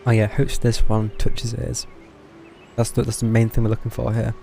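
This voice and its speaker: A man giving casual live commentary as he streams a game, low-key and musing. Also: monotone, normal speed, creaky, warm tone, faint music behind.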